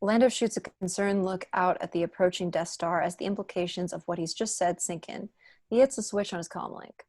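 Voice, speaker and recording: A woman's voice: fairly low pitch, fairly even intonation, fairly quick, a little mellow, no room sound, clean studio sound. A young woman reading screenplay stage directions as scripted narration on a video call from home. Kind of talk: scripted narration at a table read.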